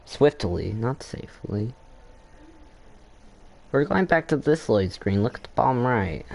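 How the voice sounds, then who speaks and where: A man's voice: mid-range voice, lively intonation, unhurried, gravelly, a little mellow. A man giving casual, off-the-cuff commentary while he plays a video game. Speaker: gamer, channel host.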